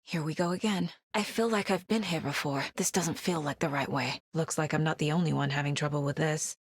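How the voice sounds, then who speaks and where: Casting: determined female investigator, horror game. Lowish, slightly husky voice, naturalistic cinematic delivery, introspective and measured. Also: fairly even intonation, no echo, clean studio sound. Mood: brooding, quiet. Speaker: video game voice actress.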